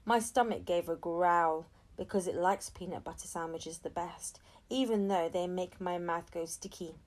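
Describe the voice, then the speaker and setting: A woman's voice: fairly low pitch, moderate pace. A woman reading a children's story aloud as an audiobook narrator, expressively and acting out the dialogue. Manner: acts out dialogue between narration. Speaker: audiobook reader.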